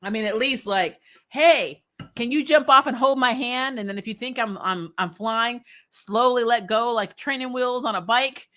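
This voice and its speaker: A woman hosting a recap in a casual, chatty, animated way, with informal slangy phrasing. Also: on the high side, normal speed. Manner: animated, laughs often while talking. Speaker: host of a TV show recap series. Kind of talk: casual, chatty episode review.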